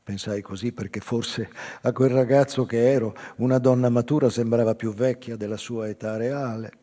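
Man acting old island whaler, melancholy storyteller, husky mellow voice, reverberant hall, theatrical monologue. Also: mid-range voice, steady tone, close to an everyday voice. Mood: tender nostalgia. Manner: measured, reflective, theatrical storytelling. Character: melancholy old Azorean whaler recalling his youth. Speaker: actor in a radio theatre monologue. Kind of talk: literary dramatic monologue. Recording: reverberant stage hall, guitar music behind. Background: live guitar music.

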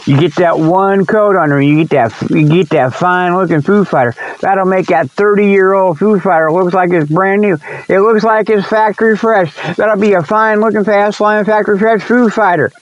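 Male talk host, slightly high voice, folksy and meandering monologue style, dull lo-fi recording. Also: moderate pace. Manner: folksy, repetitive, meandering, often chuckles to himself. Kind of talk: rambling folksy monologue, tongue-in-cheek sales pitches.

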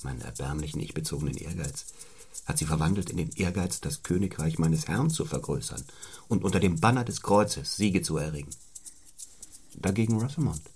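An actor playing a cold, stern, fiercely ambitious clergyman in a radio play, speaking in a formal, deliberate, rhetorical way. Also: extremely low pitch, lively intonation, a little mellow, husky, exaggerated voice. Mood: austere, intense. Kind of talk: German radio play acting.

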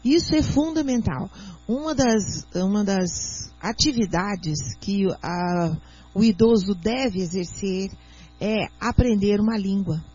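A Brazilian woman speaking as a doctor and guest on a radio talk show, explaining in an earnest, teacherly way and sometimes reading aloud slowly. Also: medium pitch, mellow, breathy edge. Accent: Brazilian Portuguese. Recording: muffled lo-fi radio audio.

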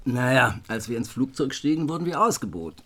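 An actor playing a teasing, grumbling husband chatting over dinner in a naturalistic radio drama. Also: male voice, medium pitch, very animated, a little fast, fairly bright tone. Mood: wry, chatty.

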